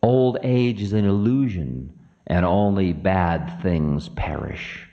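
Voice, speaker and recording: A man's voice: low-pitched, animated, slow, highs cut off, full character voice. A man voicing a defiant, mocking demon king with a scornful, theatrical drawl, in dramatic mythic storytelling. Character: Ravana, defiant, mocking twenty-armed male demon king. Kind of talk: dramatic oral storytelling of myth.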